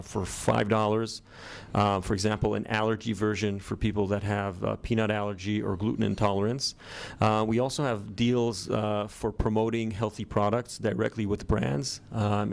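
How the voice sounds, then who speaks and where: A middle-aged man with an American accent, a startup founder answering interview questions in a measured way with many ums and uhs. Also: on the low side, gravelly, a little mellow. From born in Israel, grew up in the US.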